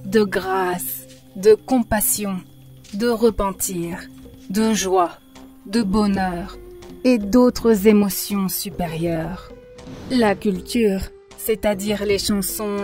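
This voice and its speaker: A woman voices a wise spiritual teacher in an animated film. She speaks calmly and patiently, like a teacher guiding a student. Her voice sits in a middle range, with faint music underneath. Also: medium pitch, close to an everyday voice. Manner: calm, instructive.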